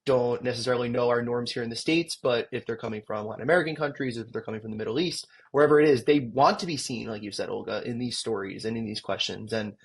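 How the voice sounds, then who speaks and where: Husky-voiced man explaining at length. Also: mid-range voice, fairly even intonation, fast, hardly any pauses. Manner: articulate, explanatory, occasional ums. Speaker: education tech company head, guest. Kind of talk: interview answers.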